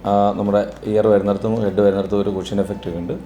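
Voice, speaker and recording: A man's voice: fairly low pitch, flat delivery, racing, mellow, slight background noise. A man presents a product review, explaining and rephrasing as he demonstrates. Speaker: product reviewer for a camera store. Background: background music.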